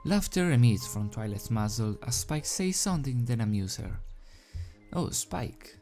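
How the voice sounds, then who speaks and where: A video host and fan fiction reader with a hesitant, halting, self-deprecating manner, speaking into a desk microphone. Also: mid-range voice, animated, warm tone. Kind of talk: casual rambling chat, then storybook narration. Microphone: desk microphone without pop filter. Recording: background music under the voice. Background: music.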